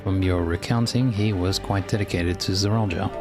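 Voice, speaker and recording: Low-voiced man reading game dialogue aloud. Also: fairly even intonation, moderate pace. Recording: music playing behind.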